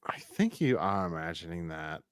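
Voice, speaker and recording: Rambling podcast man with swooping pitch. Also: sing-song, clear, resonant tone, dry recording, studio quality. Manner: rambling, halting with repeated false starts. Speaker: podcast host. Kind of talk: casual review chat.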